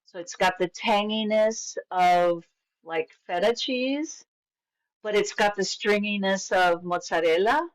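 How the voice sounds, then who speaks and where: A woman's voice: medium pitch, takes it slowly, rough recording. A middle-aged woman from Venezuela, a podcast guest telling stories of her upbringing in an easygoing, enthusiastic way, with frequent restarts. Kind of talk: casual conversational storytelling.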